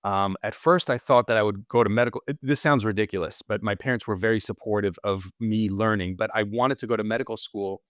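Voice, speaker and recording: Low-voiced man, rambling with restarts. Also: fast, few pauses, no echo, clean studio sound. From Israel. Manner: rambling, frequent restarts and repeated words. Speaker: podcast guest, surgeon. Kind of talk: casual interview conversation.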